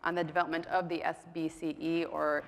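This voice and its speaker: A woman's voice: deep voice, little pitch movement, a little fast, gravelly. Woman moderating a panel in echoey hall. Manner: chatty, self-deprecating, many ums. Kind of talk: conference panel introduction. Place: conference hall. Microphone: mic on stage.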